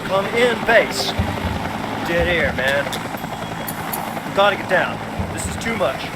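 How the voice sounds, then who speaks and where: High-pitched, urgent helicopter pilot. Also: male voice, animated, slow. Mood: alarmed. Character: tense male helicopter pilot in trouble. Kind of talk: video game cutscene acting. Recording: loud music, helicopter noise.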